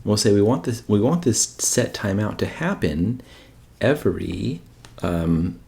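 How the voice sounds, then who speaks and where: A man's voice: fairly low pitch, expressive, measured pace, creaky, dark tone. A man giving an informal coding screencast tutorial, thinking aloud and often checking in with rhetorical questions. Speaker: presenter of a coding tutorial channel.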